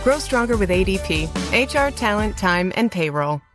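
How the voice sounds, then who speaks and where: A woman's voice: medium pitch, animated, moderate pace. Woman's polished commercial voice over music. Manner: polished scripted read.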